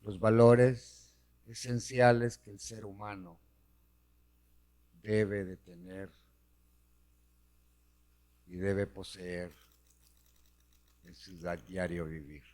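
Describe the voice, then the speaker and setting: Slow, earnest man. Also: sits low, level tone, a little mellow. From Honduras. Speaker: guest giving testimony. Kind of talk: personal religious testimony.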